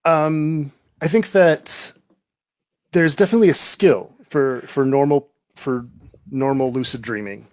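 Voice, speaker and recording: A male guest author of average pitch answers questions slowly and thoughtfully. He hesitates often and repeats words as he works out each thought. The recording is dry and close. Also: darkish tone, no echo. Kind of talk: casual interview answers.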